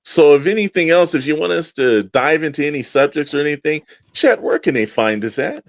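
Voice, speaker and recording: A man's voice: a little high, animated, a little fast, dry recording, studio quality. A man co-hosting a podcast, talking in a rambling, enthusiastic, informal way as he plugs shows. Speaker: podcast co-host. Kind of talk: casual promotional podcast chat.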